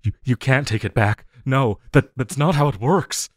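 A man playing an obsessive, lovesick supervillain in an intimate audio roleplay, halting and crooning, by turns sinister and pleading. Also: mid-range voice, no echo, clean studio sound, close to an everyday voice. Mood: panicked, pleading. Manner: halting, crooning, breaking into laughter.

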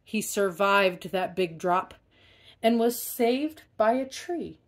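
Woman, slow pace, medium pitch, expressive storybook read-aloud, emphatic key words. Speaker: storybook reader. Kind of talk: expressive children's picture-book read-aloud.

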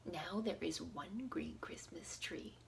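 A woman in her thirties hosting a storytime, talking expressively and deliberately to small children. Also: fairly low pitch, creaky, whispered. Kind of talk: expressive picture-book storytime reading for young children. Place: small indoor room at home.

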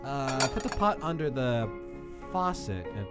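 A guarded young skeleton boy, voiced by a man, doubtful and dry. The delivery is casual and hesitant but moves around a lot in pitch, with music clearly playing underneath the comic dub. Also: very animated, unhurried. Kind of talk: animated comic dub acting.

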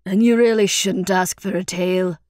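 A woman voicing a gruff, grey-haired older man from a travelling troupe, blunt and needling, in an audiobook story. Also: mid-range voice, fairly even intonation, moderate pace, darkish tone, no echo, clean studio sound, close to an everyday voice. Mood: teasing.

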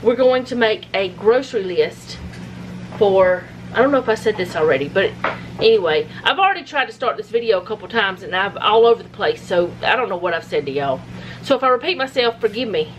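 A woman's voice: medium pitch, expressive, fairly quick, slight background noise, poor audio. A middle-aged woman, rambling casually with self-deprecating asides as she cooks supper in her home kitchen. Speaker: home cooking vlogger. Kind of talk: casual cook-along chat. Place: home kitchen with food frying. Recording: home kitchen with loud sizzling.

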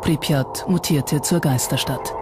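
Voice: medium pitch, unhurried. A documentary narrator reading a scripted narration. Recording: music behind the voice. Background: background music.

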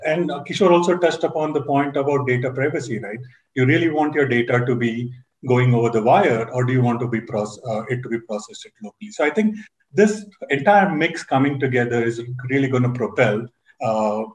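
A man speaking eagerly on a video-call panel, and he keeps restarting his phrases as he thinks. His voice is mid-pitched for a man. The room around him sounds roomy and echoey. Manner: enthusiastic, many repeated restarts.

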